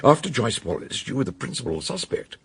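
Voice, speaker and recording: An actor playing an eccentric, blunt, aging detective in a radio drama, with a gravelly, husky British voice and theatrical, probing manner. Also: male voice, medium pitch, big pitch swings, fairly bright tone, full character voice. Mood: grave, insistent. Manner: theatrical exclamations, deliberate questioning.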